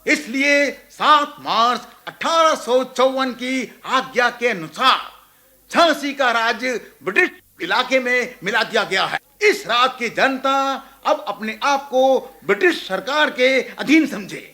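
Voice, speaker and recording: A man's voice: very high-pitched, lively intonation, pushed and bright, heavily acted. A man playing a British army major in a radio play, reading out orders in a formal, official manner. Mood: stern, official. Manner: formal, slow proclamation-like reading.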